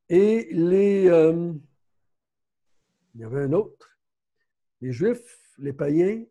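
A man's voice: sits high, warm tone. A man with a Québécois accent teaching a Bible study in a conversational way, often stopping to correct himself. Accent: Québécois French. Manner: conversational, frequent self-corrections.

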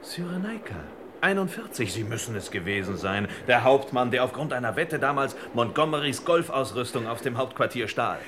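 An actor playing a self-assured, know-it-all master detective in a crime radio play parody, with pompous, clipped delivery. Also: male voice, mid-range voice, animated, moderate pace, few pauses, natural voice. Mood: feigned recognition. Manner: pompous, clipped, precise deductions. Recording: noisy old radio drama recording.

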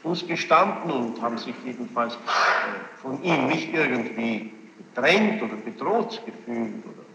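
A man formally questioning a witness in measured, hesitant phrases. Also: mid-range voice, animated, gravelly. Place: reverberant room, taped interrogation. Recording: noisy, muffled, echoey archival tape.

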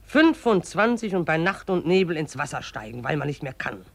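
An actress in a radio play voicing the river itself as a gruff, mocking old woman who scolds in an earthy, derisive way. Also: deep voice, very animated, moderate pace, no room sound, exaggerated voice. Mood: scornful. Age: older.

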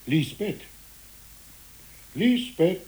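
An actor playing a gentle, fearful, whimsical middle-aged village pastor in a 1960s radio play, musing and deliberately phrased. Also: male voice, sits high, very animated, slightly rough, exaggerated voice. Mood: lively, inviting. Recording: hissy 1960s radio recording.

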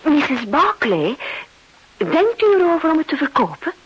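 An actress playing a nervous, earnest young art lover who speaks hesitantly and haltingly in a radio play. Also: female voice, high voice, very animated, muffled recording. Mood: overwrought, pleading. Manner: hesitant, halting, breaking off and repeating words. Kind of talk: Dutch-language radio play acting.

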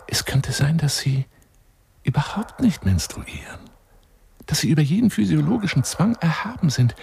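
A man's voice: medium pitch, creaky, darkish tone, slight background noise, close to an everyday voice. An actor in a radio monologue playing a florid, wheedling lion hunter who courts a woman over the phone in an intimate, theatrical way. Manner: grandiloquent, wheedling, intimate, dropping into whispers.

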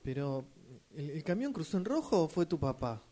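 Un hombre con acento argentino que interpreta en un radioteatro a un amigo preocupado, informal y algo titubeante. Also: male voice, on the high side, very animated, dark tone. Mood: questioning. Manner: informal, halting. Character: concerned male friend offering condolences and asking questions. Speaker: radio drama workshop participant. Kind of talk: rehearsed radio drama acting.

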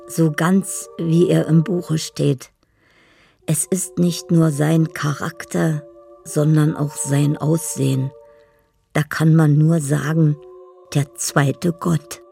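An actress playing a dreamy, lovelorn woman in a radio play, speaking poetic love letters in a deliberate, solemn way. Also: low voice, little pitch movement, creaky, warm tone, soft background music, heavily acted. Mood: musing. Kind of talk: radio play inner monologue.

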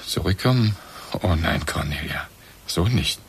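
Radio play husband, middle-aged, low and heavily rasping, bitter, agitated, accusing. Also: male voice, expressive, measured pace, heavily acted. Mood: bitter, defiant. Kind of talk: German radio play acting.

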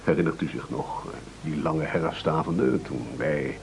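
An actor playing a pompous, self-pitying castaway chief who wheedles and orates in a theatrical radio play. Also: male voice, rumbling low, moderate pace, vocal fry, slight background noise. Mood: mock sorrowful. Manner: bombastic, oratorical, self-pitying.

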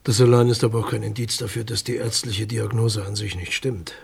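A man's voice: lowish voice, little pitch movement, fairly quick, vocal fry, a little mellow, husky, heavily acted. An actor playing a guarded, dismissive male public prosecutor in a German radio crime drama, formal and condescending in his speech. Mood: officious.

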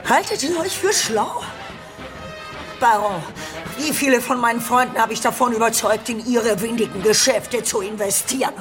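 An actress playing a scornful, debt-ridden ageing Parisian courtesan in a theatrical German radio play. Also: female voice, on the high side, normal speed, natural voice. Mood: angry, accusing. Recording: music behind the voice.

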